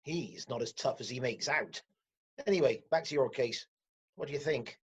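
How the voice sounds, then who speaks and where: A woman playing the firm, officious head of a counselling team in a radio comedy, with a husky, authoritative voice. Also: very deep voice, moderate pace, dull audio. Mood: wry. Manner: authoritative, managerial. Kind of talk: radio play acting.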